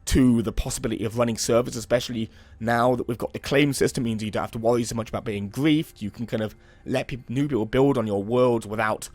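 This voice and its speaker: A young man with a slightly hoarse voice from a cold, giving relaxed, rambling commentary while playing a building game. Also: mid-range voice, fast, few pauses. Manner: relaxed, rambling, many "um" and "kind of". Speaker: let's play host. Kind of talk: casual gameplay commentary.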